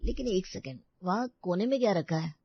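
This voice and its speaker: A woman's voice: medium pitch, animated, fairly quick, husky, no room sound. Woman as a fussy, opinionated neighbour, muffled audio. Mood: disapproving. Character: fussy visiting neighbour, critical of how things look. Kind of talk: dramatised family story.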